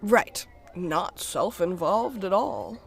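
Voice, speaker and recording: A woman's voice: medium pitch, lively intonation, slow pace, vocal fry. A woman playing a sheepish fan who poses as a superhero in an audio drama, candid and wry. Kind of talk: casual dialogue in audio drama.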